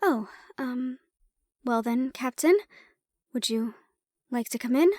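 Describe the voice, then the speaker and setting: A gracious, loyal young lady , played by a woman, in a fantasy audio drama. Her voice is high, recorded dry and close. She speaks politely and gently. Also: takes it slowly, dry recording. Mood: hesitant, concerned. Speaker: voice actor. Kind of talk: romantic fantasy audio roleplay acting.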